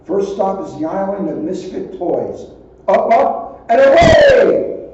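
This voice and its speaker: Man reading as excitable Santa in an echoey room. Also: sits high, slow, recorded from a distance, dull audio, voice pushed up, full character voice. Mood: jolly, commanding. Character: kindly Santa Claus, excitable old man.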